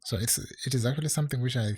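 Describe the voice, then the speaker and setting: A man's voice: medium pitch, racing, a little mellow, breathy edge. A man talking casually to his viewers, rambling with many fillers and restarts, with an English accent that is not American. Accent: non-American English. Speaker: online English tutor. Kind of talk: casual talk to camera.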